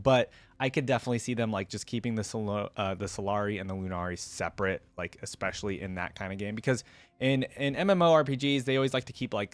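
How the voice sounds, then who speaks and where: A man's voice: on the low side, no echo. A man chatting casually and informally to his viewers as a reaction video host, rambling conversationally as he goes. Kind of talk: casual unscripted chat to viewers.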